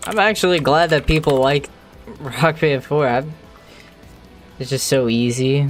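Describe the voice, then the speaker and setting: A game streamer with a medium-pitched voice talks slowly and casually, half to themselves. The delivery is loose and conversational, like someone thinking aloud while they work. Music plays faintly behind the voice. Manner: mutters numbers and thoughts aloud to self. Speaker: live streamer modding a video game.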